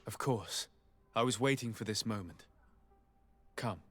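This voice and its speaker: An actor playing a sincere, reserved young noble diplomat in a video game, speaking politely and formally. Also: male voice, lowish voice, a little slow, husky, soft background music. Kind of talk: video game dialogue acting.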